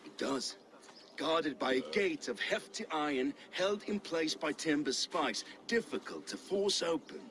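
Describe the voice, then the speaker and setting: An actor playing a fiery, brooding Danish Viking warrior in a video game cutscene. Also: male voice, a little high, lively intonation, unhurried, background noise. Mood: downcast, grim.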